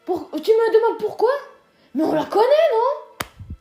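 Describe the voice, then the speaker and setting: A woman's voice: very high-pitched, expressive, measured pace, vocal fry, warm tone, soft background music. A woman co-hosting a casual ranking video, teasing and joking with lots of hesitant fillers. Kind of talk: casual scripted chat.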